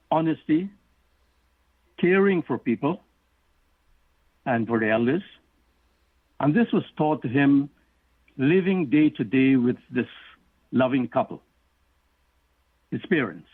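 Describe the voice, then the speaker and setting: A middle-aged man from Guyana, an author answering interview questions in a measured, explanatory way. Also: mid-range voice, animated, husky, no echo. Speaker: author and guest. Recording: tinny phone line.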